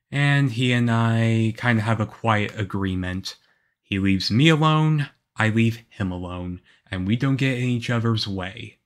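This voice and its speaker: A man playing a shy, moody, lonely young half-vampire boyfriend in an ASMR roleplay, with a hesitant, halting, earnest delivery. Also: on the low side, close to an everyday voice. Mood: quiet, matter-of-fact.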